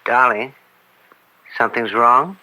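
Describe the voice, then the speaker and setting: A man's voice: medium pitch, slow, low-quality recording. An actor playing a genial, indulgent middle-aged judge and father in a radio drama, brushing worries aside in a fatherly way. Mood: indulgent, concerned. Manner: fatherly, dismissive of worry. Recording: bright old-time radio recording.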